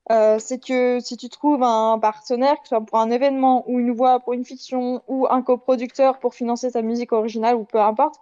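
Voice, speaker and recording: A woman explaining her opinion in a casual debate, in a measured way, on a slightly dull-sounding mic. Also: a little high, fairly even intonation, mellow, clear, resonant tone. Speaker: debate participant, amateur audio fiction maker.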